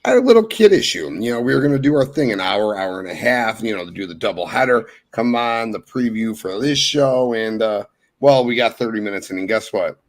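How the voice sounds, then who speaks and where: A man with a fairly low voice talks as a podcast guest. He is laid-back and friendly, running through thanks and plugs in a relaxed way. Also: fairly quick. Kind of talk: casual podcast chat.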